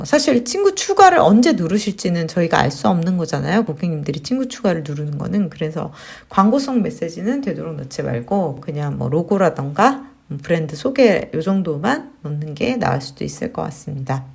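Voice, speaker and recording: Woman, 30s, low pitch, lively intonation, friendly tutorial explainer. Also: vocal fry. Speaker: marketing instructor. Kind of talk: screen-share tutorial.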